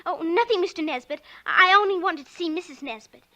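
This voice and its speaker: A woman's voice: very high-pitched, fairly quick, sharp tone. A woman playing a plucky, inquisitive little girl with an artless, earnest manner in a 1940s radio suspense drama. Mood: innocent, probing.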